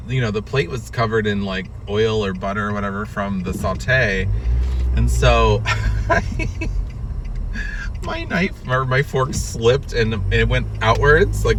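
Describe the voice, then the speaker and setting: Sniffly man rambling from a car. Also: on the low side. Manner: rambling, self-mocking storytelling with frequent laughs. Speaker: podcast host. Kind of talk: casual solo monologue. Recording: distant mic, road and traffic noise.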